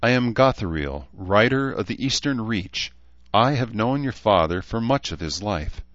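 A man's voice: fairly low pitch, expressive, moderate pace, a bit bright, lo-fi sound, pitched above the performer's own voice. Man playing a stern, imperious elf lord, roomy sound. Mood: courtly, gracious. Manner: formal, imperious, archaic phrasing. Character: haughty, ancient, stern male elf lord. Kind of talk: fantasy audiobook character voice.

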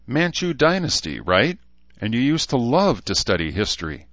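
A man's voice: mid-range voice, very animated, moderate pace, a bit bright, voice pushed up. Young fantasy hero, by male narrator: plainspoken, friendly, casual, questioning. Lo-fi room sound. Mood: teasing, casual. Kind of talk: fantasy audiobook character voice. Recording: dull, lo-fi, roomy sound.

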